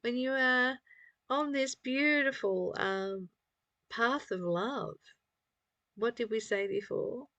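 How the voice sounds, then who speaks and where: A woman reading a spiritual study text aloud and commenting on it informally, in measured phrases with pauses. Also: a little high, a bit bright, no room sound, lo-fi sound.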